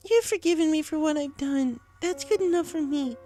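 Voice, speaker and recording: A woman's voice: sits high, moderate pace, mellow, faint music behind, pitched above the performer's own voice, lightly acted. A woman voicing a tearful, guilt-ridden young female pony in an emotional, pleading story performance. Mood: pleading, resolute. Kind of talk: dramatic audio fiction reading.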